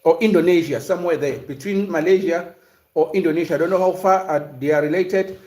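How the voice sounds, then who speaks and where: A man's voice: fairly high pitch, a little fast, darkish tone. Hesitant man from South Africa, roomy echo. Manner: hesitant, many fillers and restarts. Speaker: livestream host. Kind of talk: casual livestream commentary reading chat.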